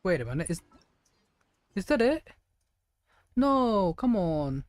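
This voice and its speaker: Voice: mid-range voice, sing-song, slow, dry recording. A game streamer giving casual gameplay commentary in a rambling, thinking-aloud way. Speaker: game livestreamer.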